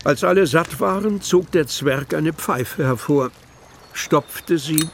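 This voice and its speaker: An actor narrating a children's fantasy adventure as a measured, descriptive storyteller. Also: male voice, on the high side, creaky, slight background noise. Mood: measured storytelling. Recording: music behind the narration.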